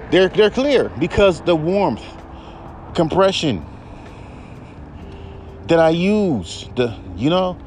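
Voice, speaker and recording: Man, baritone, podcast rant, cursing, rambling, heated. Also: big pitch swings, drawn-out words, pauses often, darkish tone, slight background noise. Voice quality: deep baritone voice. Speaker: podcast host.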